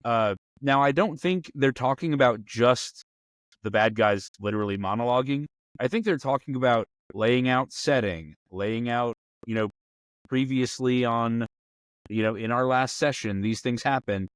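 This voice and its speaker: A man's voice: medium pitch, normal speed, smooth, dry recording, studio quality. A man hosting a tabletop role-playing show, talking through advice in a conversational style.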